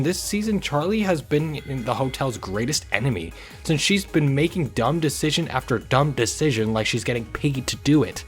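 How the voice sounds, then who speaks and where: A man hosting a video review, delivering scripted commentary in an informal, opinionated way. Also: medium pitch. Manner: informal, opinionated, occasional swearing. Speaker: host of a video review channel. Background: music under the voice.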